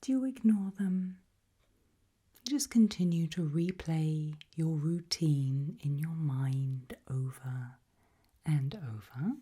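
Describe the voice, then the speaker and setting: A British woman whispering an ASMR roleplay in a breathy voice, putting slow, deliberate questions with long pauses. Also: low voice, warm tone.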